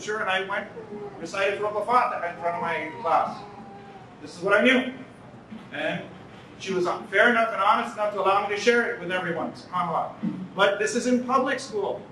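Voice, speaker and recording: A man's voice: high-pitched, bright, forceful tone, slight background noise. A Canadian man from Toronto giving an earnest conference talk at a podium, repeating key lines for emphasis. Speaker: conference speaker. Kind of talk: lecture at a podium. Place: large banquet hall, indoors. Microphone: podium mic from a distance. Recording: echoey hall, mic some distance off.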